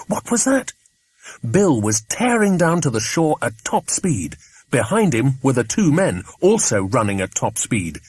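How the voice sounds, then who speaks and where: Animated, slightly husky English male narrator. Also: medium pitch, dry recording. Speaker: audiobook narrator. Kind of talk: scripted children's adventure narration.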